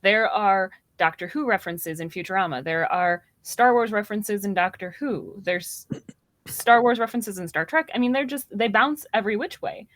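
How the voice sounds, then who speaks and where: A woman's voice: medium pitch, normal speed, a bit bright, dry recording. A woman talking casually as a panelist in a podcast discussion.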